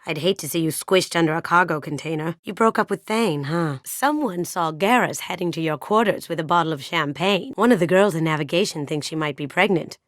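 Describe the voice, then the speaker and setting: A sly, playful master thief from a video game, acted by a woman, speaks in a low, soft female voice. She is wry and confiding, with a sentimental streak. The sound is close and dry, free of any room. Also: fairly quick, hardly any pauses, no echo, close to an everyday voice. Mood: gossipy, gentle. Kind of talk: video game character dialogue.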